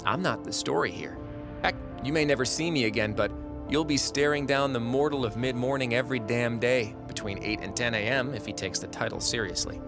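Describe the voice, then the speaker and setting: A man's voice: mid-range voice, a little fast, natural voice. Audio drama casting: male actor as insane god, breezy and sardonic, mid-range voice, prominent music underneath. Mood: wry, breezy. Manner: chatty, sardonic, theatrical. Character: breezy, wry mad god writing a farewell.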